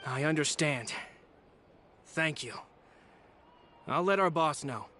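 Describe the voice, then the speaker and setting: A man's voice: fairly high pitch, lively intonation, slow pace, sharp tone, slight background noise, exaggerated voice. An actor voicing a resentful young male thug in a video game, sullen and defensive.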